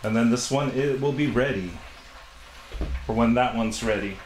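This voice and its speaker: A man hosts a casual home cooking vlog, talking in short, playful bits at a middling pitch. He sounds a way off from the mic in a roomy kitchen, with loud clatter and sizzling around him. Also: moderate pace, recorded from a distance, a little hiss or hum. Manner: playful, short offhand phrases. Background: frying, dishes and cutlery clatter.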